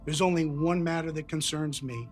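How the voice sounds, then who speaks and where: A businesslike landlord as a male actor plays him with a slightly high voice. He is cold and unsympathetic in film-drama dialogue, while music plays clearly underneath. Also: moderate pace, lightly acted.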